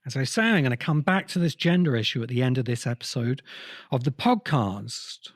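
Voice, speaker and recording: A man hosting a podcast, delivering an explanatory cultural history monologue with emphatic, halting phrasing. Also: medium pitch, animated, no echo, studio quality. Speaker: podcast host.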